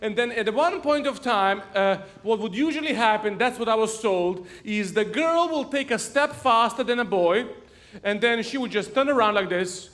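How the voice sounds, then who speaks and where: A man's voice: high voice, expressive, moderate pace, fairly bright tone. A man preaching in a church, telling funny stories and laughing at his own jokes. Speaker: pastor. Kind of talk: humorous sermon storytelling. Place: church sanctuary.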